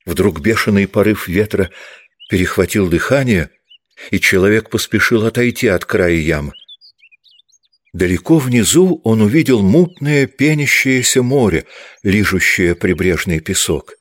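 Low-voiced male audiobook narrator. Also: lively intonation, unhurried. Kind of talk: scripted literary narration.

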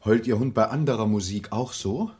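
An actor playing a persistent, probing police inspector in a German radio crime play, official and clipped in manner. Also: male voice, lowish voice, brisk, heavy background noise, natural voice. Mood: curious, probing.

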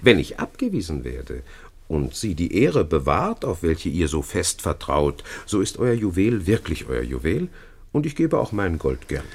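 Swaggering seducer, wildly animated and husky. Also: male voice, sits low, unhurried, heavily acted. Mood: boastful, provoking. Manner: boastful, theatrical, declamatory. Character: brash scheming male seducer, boastful noble gambler. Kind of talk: German radio play, classical stage acting.

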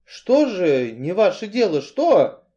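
Guy doing a scared meek man, pleading and trembly, highish voice that swings a lot. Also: big pitch swings, slow pace, mellow, dull audio, full character voice. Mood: frightened questioning. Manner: plaintive, trembling, questioning.

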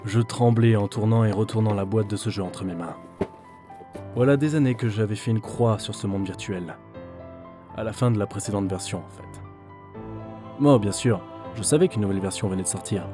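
A man's voice: lowish voice, moderate pace, over music, close to an everyday voice. A man playing a disillusioned office worker who broods and mutters to himself in a French audio drama. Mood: brooding. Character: weary, disillusioned male office worker, former gamer.

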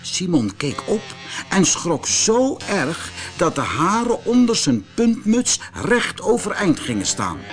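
A man's voice: high-pitched, huge pitch swings, slow, a bit bright, soft background music. A man with a Netherlands Dutch accent reading a scripted children's fairy tale as an audiobook narrator.

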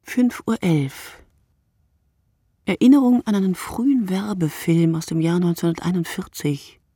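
A woman performing a reflective, sleepless narrator in a literary radio monologue, speaking in measured short phrases. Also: on the low side, mellow, slightly rough, no echo, studio quality. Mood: dry, ironic.

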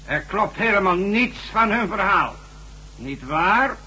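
An actor playing a sinister male hypnotist who bends people's minds, speaking slowly and commandingly in an old radio play. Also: sits high, lively intonation, sharp tone, husky, heavily acted. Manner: slow, commanding, mocking. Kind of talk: 1970s radio drama acting.

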